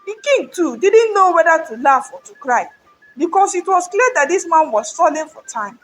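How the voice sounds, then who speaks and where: A woman's voice: extremely high pitch, very animated, normal speed, soft background music. A woman narrating a scripted folktale in a theatrical, solemn storytelling manner.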